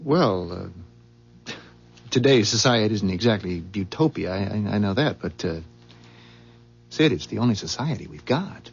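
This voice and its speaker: An actor playing a skeptical, curious everyday neighbor in a 1970s radio drama, speaking in a colloquial, incredulous, halting way. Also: male voice, lowish voice, animated, vocal fry, breathy edge, exaggerated voice. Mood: uneasy. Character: skeptical, easily amazed everyday man, friendly curious neighbor. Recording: dull, muffled 1970s radio broadcast recording.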